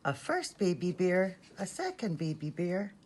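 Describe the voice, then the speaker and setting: Low-voiced woman reading a bedtime story. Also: very animated, a little hiss or hum. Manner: sing-song, gentle storytelling with drawn-out phrase endings. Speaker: storybook reader from a school staff team. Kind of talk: expressive bedtime story reading for young children.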